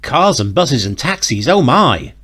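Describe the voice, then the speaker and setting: A man's voice: medium pitch, sing-song, measured pace, over music. A man making dry, mocking quips as a comic riffer. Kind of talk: quips over an old audio drama.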